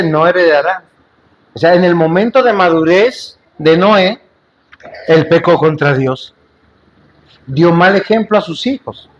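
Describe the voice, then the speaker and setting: A man's voice: high voice, muffled recording. A man preaching a sermon to a congregation in a church, in an emphatic, repetitive style with rhetorical questions to the listeners and light joking asides. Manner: jokes and asides in between.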